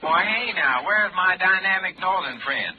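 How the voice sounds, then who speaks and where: Through faint hiss and narrow old radio sound comes a folksy tipster. He drawls easily and colloquially, with pitch that slides up and down in a lively way. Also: normal speed. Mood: chatty. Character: folksy, easygoing gambling street informant, male. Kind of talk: old-time radio detective acting. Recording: noisy band-limited old radio recording.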